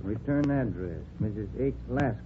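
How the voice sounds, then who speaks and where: An actor playing a polite, straightforward police detective, the partner in a vintage radio crime drama. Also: male voice, fairly low pitch, lively intonation, moderate pace, natural voice. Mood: questioning. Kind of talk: 1940s-50s radio crime drama acting. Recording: tinny vintage radio recording, music behind.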